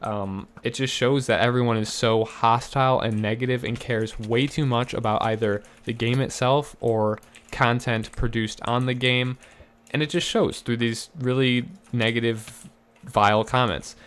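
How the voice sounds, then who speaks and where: Slightly raspy young man explaining earnestly. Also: medium pitch, steady tone, unhurried. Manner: earnest, explanatory, with frequent fillers and restarts. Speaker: gaming YouTuber. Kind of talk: casual gameplay commentary.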